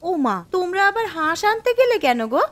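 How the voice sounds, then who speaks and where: A busy cartoon housewife, played by a woman, practical and quick to scold. Her high voice swings lively in pitch. The sound is dry and close. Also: dry recording, full character voice. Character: busy young housewife and mother, nagging but caring. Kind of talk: cartoon voice acting.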